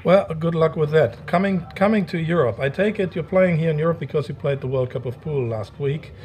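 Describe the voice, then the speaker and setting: A man interviewing a pool player, asking measured questions and reciting career facts, with some hesitation. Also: on the high side, a little mellow. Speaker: interviewer, tour reporter.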